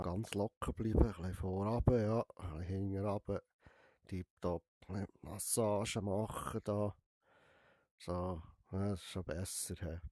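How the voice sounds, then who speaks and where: A man's voice: fairly low pitch, a little mellow, dry recording, studio quality. A young man with a Swiss German accent, nursing a cold, chatting playfully in character as a barber while playing a game, teasing and tagging sentences with a questioning "hä?". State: has a cold. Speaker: gamer streaming a VR barber game. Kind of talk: playful in-character gameplay commentary.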